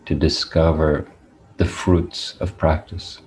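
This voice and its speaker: A man teaching meditation, speaking in a deliberate, measured way with long pauses as he guides listeners. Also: extremely low pitch, flat delivery, darkish tone, slight background noise, rough recording.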